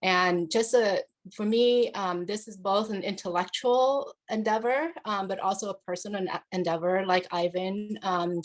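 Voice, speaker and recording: A Vietnam-born woman lecturer giving an academic panel talk over a video call, measured and full of "um" fillers. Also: mid-range voice, steady tone.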